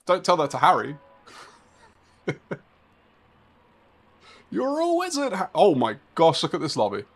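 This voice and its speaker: A man's voice: on the high side, huge pitch swings, unhurried. A man in his thirties streaming a racing game live, chatting casually and rambling with a laugh now and then. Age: 30s. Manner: chatty and rambling, laughs often, talks to chat.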